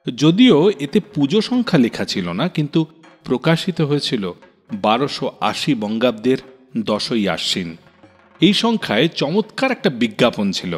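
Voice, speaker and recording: A man hosting a radio show, narrating in a lively storytelling way and reading old texts aloud. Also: fairly high pitch, in a large hall. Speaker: radio host.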